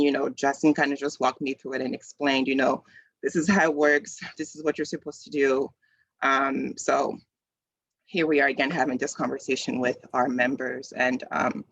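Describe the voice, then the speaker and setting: A man's voice: mid-range voice, fairly bright tone, no echo. A man in his thirties from the Congo, hosting a community video call in a casual, conversational way with many ums and restarts. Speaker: community event host. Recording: slightly dull, dry call audio.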